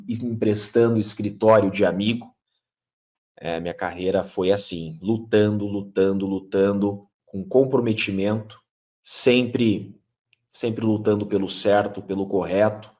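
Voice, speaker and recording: A man, a lawyer explaining legal matters emphatically, posing rhetorical questions as he lectures. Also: deep voice. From Curitiba, Brazil. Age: 30s.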